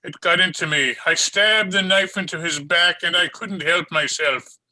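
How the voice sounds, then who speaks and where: Man acting a frantic, guilt-ridden uncle, piercingly bright voice. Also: sits high, moderate pace, rough recording. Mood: tormented confession. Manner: frantic, pleading, repeats phrases. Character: guilt-ridden Irish-American police sergeant uncle, anguished and panicked. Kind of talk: old time radio drama acting. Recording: remote video call recording.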